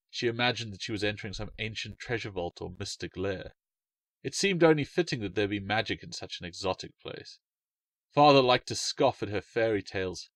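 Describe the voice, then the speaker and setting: A man reading his own fantasy short story in a calm, measured way. His voice sits low. The recording is close and dry with no room sound. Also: no echo. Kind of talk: storybook narration.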